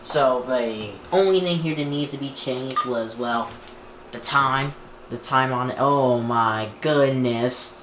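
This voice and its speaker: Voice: medium pitch, takes it slowly. A person talking casually and off the cuff for a vlog, rambling and often restarting sentences. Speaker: video creator showing a radio collection. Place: indoor room. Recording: echoey room with background noise.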